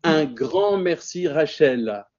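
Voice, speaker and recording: Guy hosting a webinar, reads the intro, mellow voice, muffled call sound. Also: on the high side, animated. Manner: warm, measured, reading a prepared text. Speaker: webinar host. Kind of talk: introducing a guest, reading a bio.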